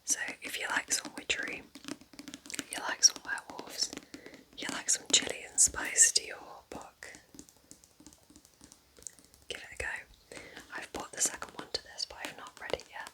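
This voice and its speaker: Female ASMR creator, full whisper, breathy and soft, gentle unhurried delivery, casual and intimate recommendations. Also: poor audio.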